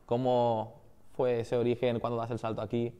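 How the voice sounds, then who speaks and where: A man's voice: medium pitch, monotone, normal speed, mellow, clear, resonant tone, dull audio. A man hosting a podcast, asking conversational interview questions. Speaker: podcast host.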